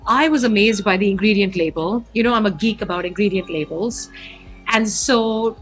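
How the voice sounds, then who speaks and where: A woman's voice: medium pitch, normal speed, faint music behind. A woman hosting an interview, effusive and enthusiastic about her guest. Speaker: podcast host.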